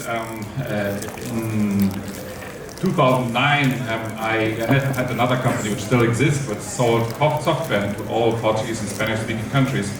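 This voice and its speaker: A man from Germany in his forties, speaking on a conference panel into a handheld mic with many pauses and ums. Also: mid-range voice, far from the mic. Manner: halting, many ums and restarts. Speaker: company co-founder, panel speaker. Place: conference stage in a large hall. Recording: echoey hall, mic heard from afar, noisy.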